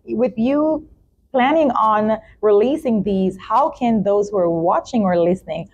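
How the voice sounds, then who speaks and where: A woman's voice: medium pitch, measured pace, darkish tone, slightly rough, highs cut off. A woman hosting a talk show podcast, interviewing conversationally with an encouraging, effusive manner.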